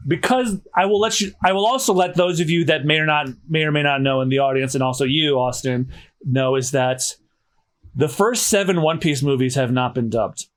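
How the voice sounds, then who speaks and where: A man hosting a casual podcast, chatty and slightly hoarse, often restarting his sentences. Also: fairly high pitch, normal speed. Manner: chatty, self-correcting restarts. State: slightly hoarse after dental work. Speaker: podcast host.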